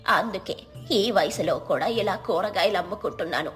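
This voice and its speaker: A woman's voice: medium pitch, normal speed, soft background music, natural voice. A woman voicing a timid, worried old village woman who sells vegetables and complains fretfully.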